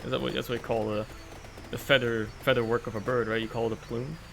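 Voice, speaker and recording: A man's voice: mid-range voice, level tone, fast talker, creaky, fairly bright tone, a little hiss or hum. Young man rambling over a game. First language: German. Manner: rambling, joking, swears often. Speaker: streamer. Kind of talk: casual gameplay commentary.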